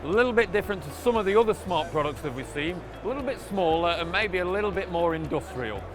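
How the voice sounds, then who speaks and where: A man's voice: high voice, expressive, fast talker. A man hosting a conversational product interview, explaining points and prompting his guest with tag questions. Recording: background music under the voice.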